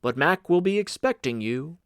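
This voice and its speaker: A man's voice: on the high side, lively intonation, normal speed, a bit bright, clear, resonant tone, no echo, studio quality. A man reading an audiobook as a stern, insistent uncle and guardian, speaking formally and firmly. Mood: firm, curt. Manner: firm, formal, commanding. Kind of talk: audiobook character dialogue.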